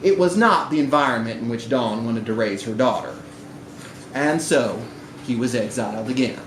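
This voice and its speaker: A man reading literary fiction aloud to a live audience in a bar, with an expressive, theatrical delivery. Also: mid-range voice, moderate pace. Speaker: story reader at a live literary event. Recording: echoey live room recording with background noise.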